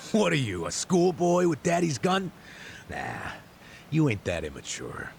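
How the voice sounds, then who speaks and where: A sly old gravelly detective. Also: male voice, a little high, wildly swooping pitch, a little slow, a little hiss or hum, exaggerated voice. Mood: teasing. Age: older. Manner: teasing, chuckling, prying. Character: aging male detective near retirement, sly and persistent. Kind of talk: dubbed game cutscene acting.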